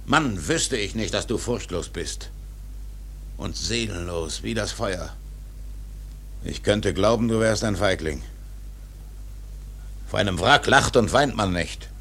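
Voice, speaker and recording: An actor playing an obsessed, proud old whaling captain in a radio drama, rough-voiced, imperious and defiant. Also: male voice, on the low side, full character voice. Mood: stern. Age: older. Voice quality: gravelly, rough. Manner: imperious, slow, forceful.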